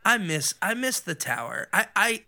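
Bright-voiced man chatting on a podcast. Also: sits high, sing-song, moderate pace. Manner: enthusiastic, rambling. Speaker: podcast co-host. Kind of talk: casual podcast chat.

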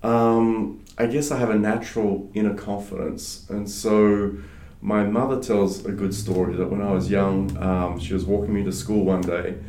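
Deep-voiced man in an echoey room. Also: moderate pace. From Australia. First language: French. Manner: relaxed, frequent fillers and restarts. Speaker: guest, startup advisor. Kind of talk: casual interview conversation. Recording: roomy echo.

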